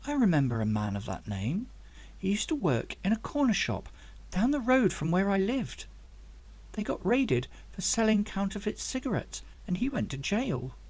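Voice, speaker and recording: A man's voice: sits high, huge pitch swings, normal speed, darkish tone, pitched above the performer's own voice, heavily acted. A man voicing a helpful young woman, a lab assistant, with a matter-of-fact manner in a storybook reading. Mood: helpful, recalling.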